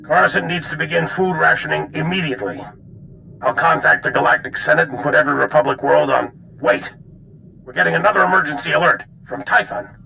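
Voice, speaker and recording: An actor playing a stern, resolute general in a video game, gravelly and full of military authority. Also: male voice, fairly high pitch, a little fast, bright, forceful tone, slight background noise, heavily acted, poor audio. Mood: urgent. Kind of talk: scripted video game acting.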